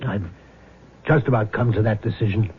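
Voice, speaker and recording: An actor in a 1970s radio drama playing a weary, secretive middle-aged husband, bitter and hesitant, with a husky voice. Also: male voice, fairly low pitch, phone-quality sound, slight background noise, close to an everyday voice. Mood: dejected. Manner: hesitant, evasive, halting. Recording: band-limited vintage radio broadcast.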